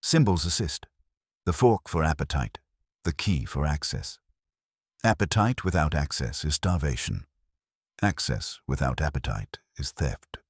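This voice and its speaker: A man's voice: rumbling low, expressive, unhurried, slightly rough, dry recording, clean studio sound, heavily acted. A man voicing a smug, theatrical villain in an audio mystery, pompous and precise in a pleasantly menacing way. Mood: pompous, satisfied.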